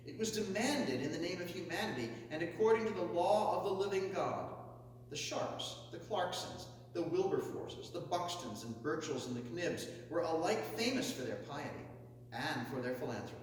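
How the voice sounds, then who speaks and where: A man's voice: lively intonation, normal speed. Man declaiming an oration in an echoing hall. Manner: emphatic, builds to forceful high peaks on lists. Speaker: reader of a historic speech. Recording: echoey hall, mic far off, faint hum.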